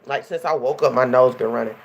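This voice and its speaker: Young woman, stuffy and nasal, a touch hoarse; chatty, animated livestream host addressing viewers. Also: a bass voice, quick pace, background noise. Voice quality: slightly hoarse. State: stuffy and nasal from a runny nose. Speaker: life coach hosting a livestream. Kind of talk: casual livestream chat.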